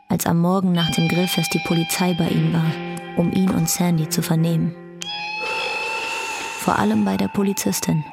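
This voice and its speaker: A woman's voice: on the low side, creaky, heavily acted. A woman narrating a domestic radio drama in the third person, reading scripted literary prose.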